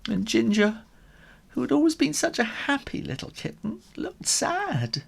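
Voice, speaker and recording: Guy reading a kids story, super animated and dramatic, slow, gravelly voice swooping all over. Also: very high voice. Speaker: children's author and storyteller. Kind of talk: expressive children's story reading.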